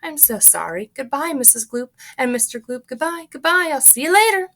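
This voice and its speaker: A woman's voice: high-pitched, expressive, brisk, pushed and bright, pitched above the performer's own voice, exaggerated voice. A woman voicing a playful, giggling eccentric man who teases in an airy way. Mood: teasing.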